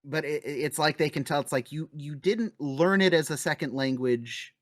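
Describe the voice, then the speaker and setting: A man hosting a podcast in a relaxed, conversational way, often trailing off quietly. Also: fairly high pitch, normal speed, no echo, studio quality. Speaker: podcast host. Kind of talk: casual podcast chat.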